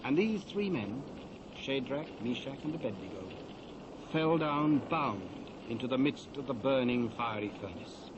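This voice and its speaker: British man, mid-pitched, measured formal scripture narration, solemn drama, faint cassette hiss. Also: expressive, muffled recording.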